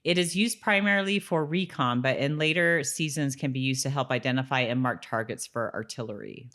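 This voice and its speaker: A woman co-hosting a podcast in casual conversation, playful and quick to agree with and echo the other speaker. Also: rumbling low, a bit bright. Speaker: podcast co-host. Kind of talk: casual chat about a TV episode.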